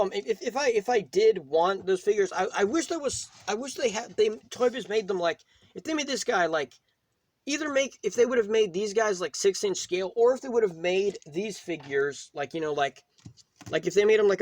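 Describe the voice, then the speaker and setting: A man hosting a casual, unscripted toy review, talking in a rambling, halting way and often rephrasing himself. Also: high voice, no room sound. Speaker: toy reviewer, video host.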